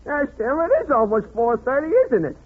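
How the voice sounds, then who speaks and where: An actor playing a timid, henpecked bookkeeper husband in a 1940s radio comedy, meek and halting in his delivery. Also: very high voice, animated, breathy edge, heavily acted. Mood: meek, resigned. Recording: noisy, phone-like old 1940s radio recording.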